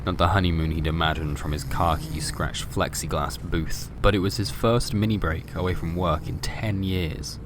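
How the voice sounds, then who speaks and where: Deep-voiced man narrating horror fiction. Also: steady tone, normal speed, few pauses, background noise. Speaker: narrator and host of a fiction podcast. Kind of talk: scripted horror story narration. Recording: music bed under the voice. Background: music.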